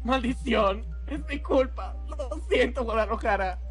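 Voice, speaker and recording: A woman's voice: a little high, measured pace, faint music behind, pitched above the performer's own voice, natural voice. A woman voicing an anguished, protective young male horror-game hero with melodramatic pleading.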